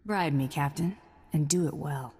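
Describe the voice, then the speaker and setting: An actress playing a tough, cocky female bounty hunter in a video game, terse and deadpan. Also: low voice, moderate pace, husky. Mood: cool. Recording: long hall-like reverb.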